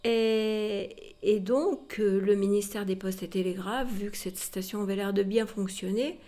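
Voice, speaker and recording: A woman's voice: medium pitch, fairly even intonation, measured pace, dark tone. Woman guide explaining history to visitors, chatty storytelling, talks right to you. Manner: explanatory, addresses listeners directly with rhetorical tags. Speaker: museum guide.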